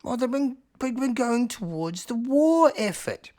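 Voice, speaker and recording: A man voicing an old male village cat leader, weary and doddering, with a slow, fretful, drawn-out delivery. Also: very high-pitched, animated, darkish tone, voice pushed up.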